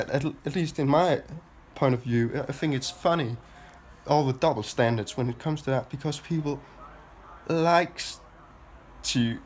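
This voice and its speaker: A young man with a Danish accent talks to his phone at arm's length, his voice thick and stuffy from a cold. He rambles in a halting way, restarting phrases and filling pauses, with a faint hum of room noise behind him. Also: medium pitch. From Denmark. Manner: halting, many restarts and filler sounds. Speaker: vlogger. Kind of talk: rambling personal monologue to camera. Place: small room indoors. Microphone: phone held at arm's length.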